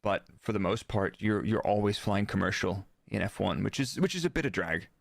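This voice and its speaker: A man's voice: on the low side, expressive, fairly quick, gravelly, no echo, clean studio sound. A man talking casually as an interview guest, explaining technical work in the manner of an engineer. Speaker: guest, former Formula One performance engineer.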